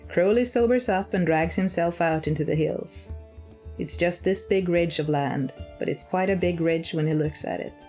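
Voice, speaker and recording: Woman reading a story out loud, lowish voice, expressive, guitar music in the background. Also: normal speed. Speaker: story reader. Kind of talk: expressive scripted fiction narration. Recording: plucked guitar music behind.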